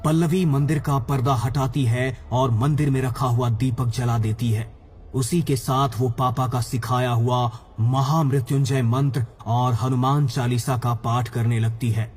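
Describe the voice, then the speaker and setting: A man's voice: medium pitch, fairly even intonation, measured pace, a little mellow. A man narrating a scripted horror story for a cartoon. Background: background music.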